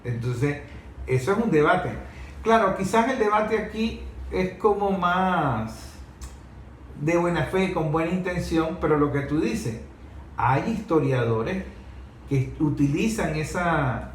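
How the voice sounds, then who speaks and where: Male discussion voice, a bit high, argumentative with rhetorical questions, recorded in an echoey room. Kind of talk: conversational political-historical debate. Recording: echoey room with faint noise.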